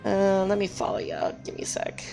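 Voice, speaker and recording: A woman's voice: mid-range voice, sing-song, brisk, gravelly, mellow, soft background music. A woman live-streaming a game and chatting casually with viewers, her voice strained and scratchy, apologetic and full of fillers.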